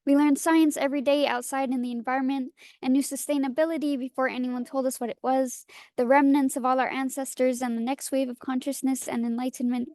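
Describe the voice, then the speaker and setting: A young woman from Hawaii performing spoken word poetry in a measured, rhythmic recitation at a reading. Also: high-pitched, little pitch movement, darkish tone, dry recording, clean studio sound. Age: young adult. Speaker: featured youth poet. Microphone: handheld mic at a reading.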